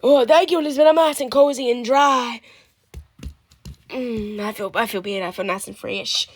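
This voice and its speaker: A woman's voice: on the high side, moderate pace, lightly acted. A woman voicing a frail old man in a diaper who fusses and pleads to be changed. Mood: pleased. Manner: pleading, fussy.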